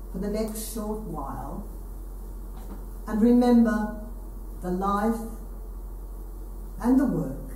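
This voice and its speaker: Slow, solemn woman in an echoey hall. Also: medium pitch, mellow. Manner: solemn, measured phrases with pauses. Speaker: presenter. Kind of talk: solemn ceremonial introduction. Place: hall with seated audience, indoors. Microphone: distant camera. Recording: very echoey room, distant mic, slight noise.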